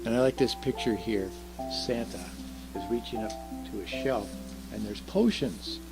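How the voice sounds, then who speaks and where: A man's voice: on the low side, measured pace, low-quality recording. A man reading a children's story aloud expressively, in the role of Santa. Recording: music behind the voice.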